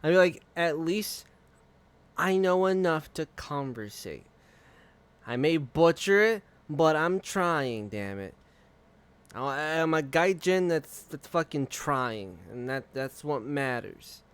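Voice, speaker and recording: A man's voice: fairly high pitch, animated, slow pace, clean tone. Profane, laid-back male streamer. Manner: profane, rambling, laughs often. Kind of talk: casual live-stream chat.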